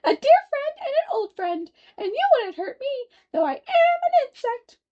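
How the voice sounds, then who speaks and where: A woman voicing a tiny, unhappy male gnat with a very high, small voice. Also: sing-song, a little slow, highs cut off, full character voice. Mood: wistful.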